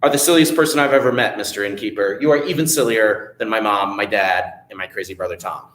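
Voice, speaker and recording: A man's voice: lowish voice, fast, fairly bright tone, echoey room, voice pushed down, lightly acted, poor audio. A man voicing Jack, a young boy hero who talks plainly and sounds exasperated.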